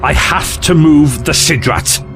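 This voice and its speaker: A man's voice: a little high, slow pace, gravelly, music in the background. A man playing a glib, eccentric alien time traveller in an audio drama, flippant, theatrical and condescending. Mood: smug, indignant.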